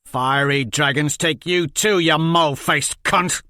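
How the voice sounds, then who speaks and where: A man's voice: medium pitch, unhurried, fairly bright tone, no room sound, studio quality, lightly acted. A man reading a story, voicing a bitter, foul-mouthed teenage boy who spits insults. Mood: scornful. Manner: spitting, sneering insults.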